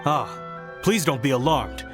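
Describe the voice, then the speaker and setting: An actor playing a courteous, dutiful guard in a video game, formal and reassuring. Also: male voice, medium pitch, expressive, a little slow, lightly acted. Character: courteous, dutiful male guard on official duty. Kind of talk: scripted video game dialogue. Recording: prominent game music behind the voice.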